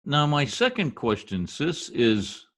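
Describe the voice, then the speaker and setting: Man playing a brash, grumbling husband, swooping pitch. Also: wildly swooping pitch, slow pace, fairly bright tone, heavily acted. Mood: exasperated. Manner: brash, slangy, exasperated. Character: blustery, grumbling husband working as census taker. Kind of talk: old-time radio comedy acting.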